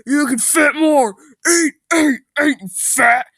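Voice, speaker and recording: A man voicing rowdy teenage friends in a comic story, chanting loudly to egg someone on. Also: very high voice, takes it slowly, no echo, studio quality, voice pushed up, full character voice. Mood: rowdy, goading. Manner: loud, chanting.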